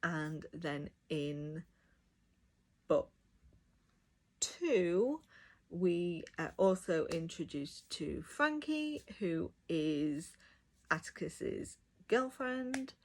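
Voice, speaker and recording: Woman, casual reviewer, enthusiastic, creaky vocal fry, slow pace. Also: fairly low pitch, animated. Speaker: audiobook reviewer. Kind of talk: casual review talk to viewers.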